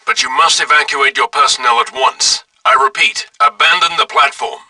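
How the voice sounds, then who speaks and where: An actor playing a cool, authoritative commander spy speaking over a distant radio-like line in a video game. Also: male voice, a little high, sing-song, normal speed, vocal fry, bright, forceful tone, faint music behind, exaggerated voice. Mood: cool, firm.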